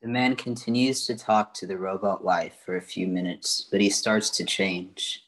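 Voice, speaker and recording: An older man with a fairly low voice acts as announcer for an amateur radio play. He reads out scripted narration, setting the scene and describing what the characters do. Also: fairly even intonation, moderate pace.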